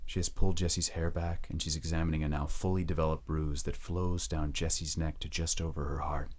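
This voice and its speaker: Very deep-voiced man narrating drama. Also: level tone, a little fast. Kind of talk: scripted audio drama narration.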